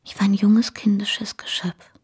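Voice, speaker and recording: Frail, whispering young dying wife. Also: female voice, medium pitch, completely flat delivery. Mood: tender, resigned. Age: young adult. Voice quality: breathy, whispering. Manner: faint, slow, gentle. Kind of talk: German radio play acting.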